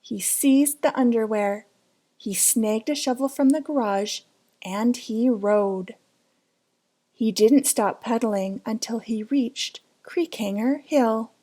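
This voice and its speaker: Female children's storybook reader, higher register, unhurried, dramatic and suspenseful. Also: warm tone. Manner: dramatic, suspenseful delivery with drawn-out words. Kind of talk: children's picture book read-aloud.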